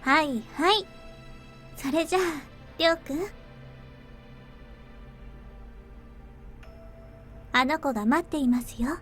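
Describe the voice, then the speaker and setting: High-voiced gentle anime heroine. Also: female voice, expressive, quick pace, soft background music. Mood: gently teasing. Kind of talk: Japanese visual novel voice acting.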